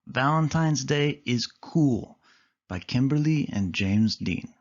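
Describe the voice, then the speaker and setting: A man reading a children's picture book aloud with expressive storytelling. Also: mid-range voice, slow, dull audio.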